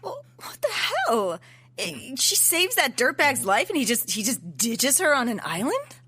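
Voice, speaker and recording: A woman acting in an audio drama as a wry, sarcastic but warm-hearted skeptical listener, dry and teasing. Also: sits high, huge pitch swings, measured pace, husky, heavily acted. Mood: indignant.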